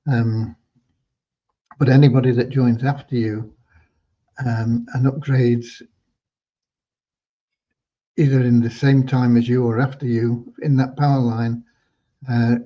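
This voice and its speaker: Mellow, slightly hoarse man giving rambling updates. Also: medium pitch, level tone. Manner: rambling, halting, with hesitant repeats. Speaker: host giving business updates to members. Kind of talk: informal livestream update. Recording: dull, lo-fi online audio.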